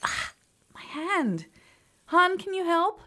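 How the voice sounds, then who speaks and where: An Australian actress playing an anxious, caring mother in a radio drama, gentle and pleading. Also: very high voice, very animated, takes it slowly, background noise, heavily acted. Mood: pained, pleading. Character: anxious, caring suburban mother and neglected wife.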